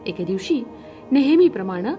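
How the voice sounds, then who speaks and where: Animated female children's storyteller. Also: on the high side, moderate pace, lo-fi sound, music in the background. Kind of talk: expressive children's story narration.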